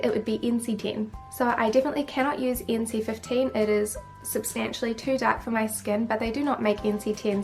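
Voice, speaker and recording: A woman's voice: on the high side, creaky. A young woman from New Zealand with a New Zealand accent, chatty and matter-of-fact, answering viewer questions to camera as a beauty vlogger. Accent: New Zealand, a few English-sounding words. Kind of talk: casual Q&A to camera.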